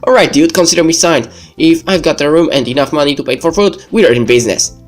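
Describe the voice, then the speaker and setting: A cocky young male gamer, voiced by a man reading a visual novel, hot-headed and excitable, talking brashly with his pitch lifted a little. Music plays behind him. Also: quick pace, lightly acted. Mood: eager, boastful. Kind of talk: amateur read-aloud of visual novel dialogue.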